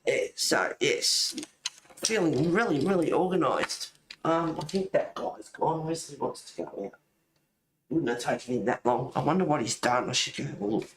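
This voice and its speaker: A man's voice: fairly high pitch, expressive, moderate pace, fairly bright tone. Aussie guy just rambling on a livestream, echoey room, bit of noise behind him. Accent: Australian. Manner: rambling, easily sidetracked. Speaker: host of a colouring livestream. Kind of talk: casual chat. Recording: roomy echo, faint noise.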